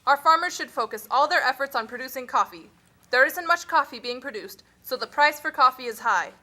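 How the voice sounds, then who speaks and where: A woman playing a callous, bossy official and overseer in an amateur puppet show, speaking in a brisk, commanding way. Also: high voice, expressive, pushed and bright. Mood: proclaiming.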